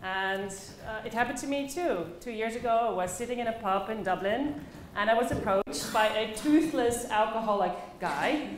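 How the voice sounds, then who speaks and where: A woman from the Netherlands with a Dutch accent, telling personal stories as a conference speaker, expressively and with dramatic pauses. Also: fairly high pitch. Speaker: conference speaker, play facilitator. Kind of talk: personal storytelling talk to a live audience. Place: echoey indoor conference room. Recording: very echoey room, faint background noise.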